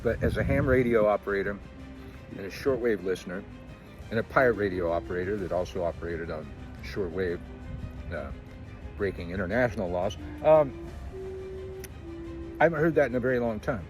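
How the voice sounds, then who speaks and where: Middle-aged male commentator, lowish voice, blunt and chatty, plenty of ums, music bed underneath. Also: fairly quick, pauses often. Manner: blunt, conversational, frequent fillers. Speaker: news commentator. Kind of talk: casual spoken commentary.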